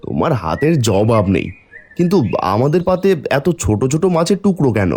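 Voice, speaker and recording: A man's voice: medium pitch, sing-song, a little fast, darkish tone. A man playing a suspicious, hot-tempered village husband in a folk-tale cartoon, with a dramatic, emphatic delivery. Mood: puzzled, demanding.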